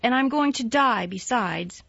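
A spoiled, sickly young boy, as a woman reader puts him on, petulant and demanding, his voice raised a little higher and louder than the narration. He sounds bossy and self-pitying. The recording is dull and dry. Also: expressive, unhurried, creaky, husky, no echo, heavily acted.

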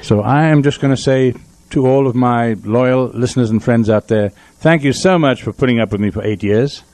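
A man's voice: mid-range voice, moderate pace, darkish tone, no room sound. A man hosting a radio show from the studio, chatting casually and sentimentally. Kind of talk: casual, sentimental farewell chat.

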